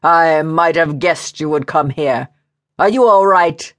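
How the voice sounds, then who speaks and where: Casting: domineering period-romance gentleman, played by a woman, audiobook style, booming, scolding and hot-tempered. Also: slow pace. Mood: booming, alarmed. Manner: blustering, domineering, archaic upper-class wording. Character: angry, overbearing Regency gentleman, booming and scolding.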